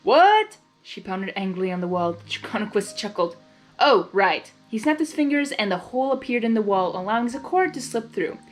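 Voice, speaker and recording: A woman's voice: mid-range voice, lively intonation, fast, few pauses, soft background music. A woman hosting and narrating a story reading expressively, with casual asides. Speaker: host and narrator of a blind reading.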